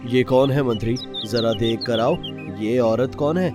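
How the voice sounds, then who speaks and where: A man acts a kindly storybook king in a cartoon. He speaks with regal formality at a medium pitch, with music clearly behind him. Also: a little slow, natural voice. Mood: concerned. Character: kindly, dignified king of a fairy-tale kingdom.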